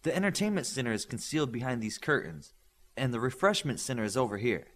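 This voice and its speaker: A man's voice: medium pitch, sing-song, fast talker, fairly bright tone. A man voicing a confident, friendly teenage superhero girl who explains things proudly in an audiobook. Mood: explaining.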